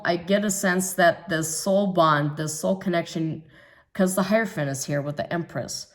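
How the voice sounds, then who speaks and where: A hoarse woman reads tarot in a loose, thinking-aloud way, her voice sitting a little low. She hesitates often with fillers, then presses her points with firm conviction. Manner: musing, hesitant with many ums, emphatic about others' jealousy. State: hoarse from recovering illness. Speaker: tarot reader. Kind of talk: casual tarot reading monologue.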